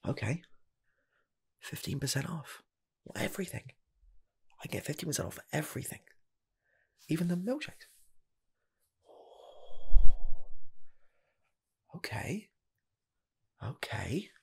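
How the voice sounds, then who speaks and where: A man playing an earnest rocket scientist applying for a fast food job, in a soft, half-whispered ASMR roleplay voice that rambles and restarts. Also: on the high side, sing-song, vocal fry, slightly rough, full character voice. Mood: delighted. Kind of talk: ASMR personal attention roleplay.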